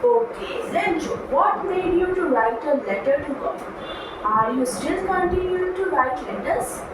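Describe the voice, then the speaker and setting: Woman, puppet show interviewer, high voice, recited delivery, cavernous echo, noisy distant mic. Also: big pitch swings, a little slow.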